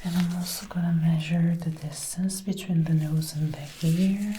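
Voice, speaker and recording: A woman doing soft-spoken ASMR roleplay, murmuring and whispering up close into a binaural microphone. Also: lowish voice, fairly even intonation, moderate pace, a little hiss or hum. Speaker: ASMR creator acting out a personal attention measuring roleplay. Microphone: close binaural microphone, ear to ear.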